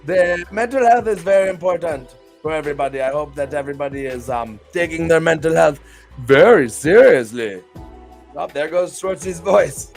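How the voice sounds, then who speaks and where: A man's voice: a little high, expressive, moderate pace, gravelly, soft background music. A man hosting a live stream, talking in a hammy, playful, exclamatory way. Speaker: streamer and show host. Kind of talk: casual live-stream chat.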